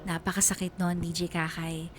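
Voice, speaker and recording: A woman's voice: on the low side, little pitch movement, normal speed, close to an everyday voice. A woman playing a hurt but resolute letter-sender, narrating her story in dramatic first-person radio style. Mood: wounded. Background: background music.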